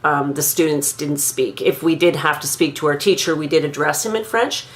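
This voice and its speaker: A woman in her fifties from Quebec, thoughtfully recounting her own school memories in a measured, pausing monologue. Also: sits low. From Quebec, Canada. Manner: measured, thoughtful, with frequent pauses. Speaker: grandmother recounting her language learning. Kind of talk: reflective personal monologue.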